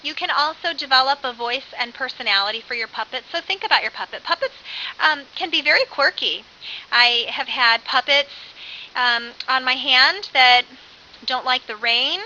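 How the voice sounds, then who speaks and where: A dull, lo-fi recording with faint hiss carries a woman's very bright, sharp voice. She lectures as an early childhood instructor in an animated, conversational way. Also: high-pitched. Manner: animated, with acted-out example phrases.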